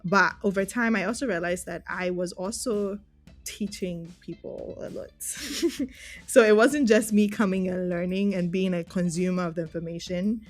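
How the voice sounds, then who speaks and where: A woman's voice: on the low side, moderate pace, faint music behind. A woman from Ghana giving conversational, reflective answers as a podcast guest. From Ghana, grew up in Accra. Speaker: podcast guest, user experience researcher.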